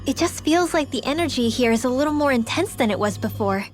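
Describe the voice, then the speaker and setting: A woman's voice: sits high, expressive, quick pace, soft background music. A woman voicing an earnest young girl adventurer in a dramatic video game dub, with a slightly hesitant manner. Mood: uneasy, wondering.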